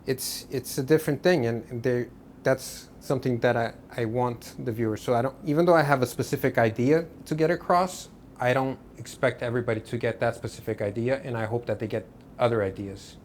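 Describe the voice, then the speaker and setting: A man from Portugal, an artist guest, talking about his work in a relaxed, rambling way with many restarts. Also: mid-range voice, a little fast, a little hiss or hum. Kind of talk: casual interview.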